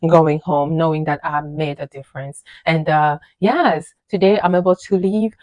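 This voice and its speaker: A woman in her thirties whose first language is French, working as a registered nurse and telling her journey in a casual vlog, animated and emphatic, with many fillers and repeated words. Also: deep voice, normal speed, no echo. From French-speaking country, immigrated to the USA. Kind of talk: casual personal storytelling to camera.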